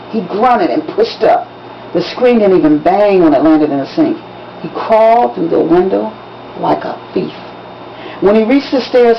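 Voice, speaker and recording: A woman's voice: mid-range voice, creaky. Lady author reading out a story, dramatic delivery, kinda muffled audio w some background noise. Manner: dramatic, emphatic storytelling with acted dialogue. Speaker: author reading from their own novel. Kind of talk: expressive audiobook-style narration. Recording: dull lo-fi recording with background noise.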